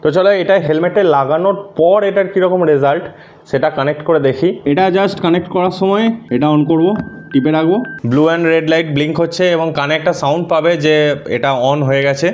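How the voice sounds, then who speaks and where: A man's voice: on the high side, brisk, darkish tone. A man narrating a casual step-by-step tutorial, explaining things and mixing in English words. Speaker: host of a motorcycle gear tutorial.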